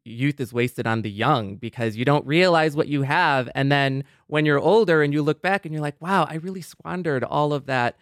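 A man's voice: on the high side, moderate pace, hardly any pauses, no echo, studio quality. A middle-aged man talking casually and reflectively in a discussion, often searching for his words. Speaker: podcast panelist.

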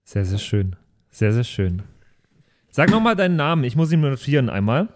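A man hosts a role-play podcast in a loose, joking style. His voice sits at a medium pitch and he talks like he is among friends. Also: very animated, normal speed. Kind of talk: casual joking chat.

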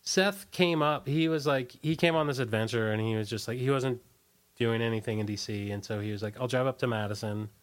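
A man being interviewed in a casual, rambling way, halting and often restarting his sentences. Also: medium pitch. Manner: rambling, halting, many false starts. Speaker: interview guest, punk band singer. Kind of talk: casual conversational interview, recollecting.

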